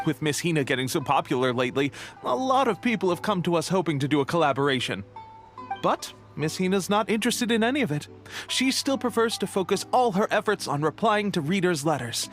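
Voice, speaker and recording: A man voicing a friendly, slightly rambling young editor in a video game. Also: on the high side.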